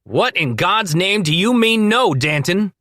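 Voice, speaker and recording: A man voicing a furious, bullying town leader who shouts and rants in an audiobook. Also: high-pitched, expressive, unhurried, no room sound, clean studio sound, pitched above the performer's own voice, heavily acted. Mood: furious shouting. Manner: shouting, ranting.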